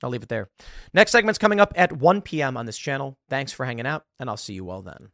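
A man's voice: mid-range voice, lively intonation, fast, gravelly, sharp tone, no echo, clean studio sound. A man in his thirties hosting live political commentary, impassioned and sarcastic, mocking the people he quotes. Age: 30s.

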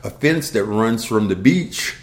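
A man's voice: fairly low pitch, measured pace. Older man telling childhood stories. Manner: storytelling, animated when quoting others. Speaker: podcast co-host. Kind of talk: casual conversation.

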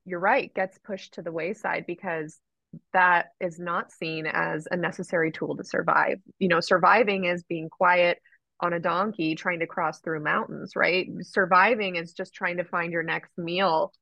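A woman hosting a podcast with a lowish voice, recorded dry and a little dull, as if on a modest lo-fi mic. She asks long, winding questions and reflects back what her guest has said in an even, affirming way. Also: measured pace, vocal fry, no echo. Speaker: podcast host. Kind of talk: interview chat.

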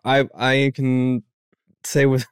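A speaker in their thirties with a very low voice chats casually as a podcast co-host. The sound is dry and free of room echo. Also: female voice, little pitch movement, slow, clear, resonant tone. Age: 30s. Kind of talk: casual chat.

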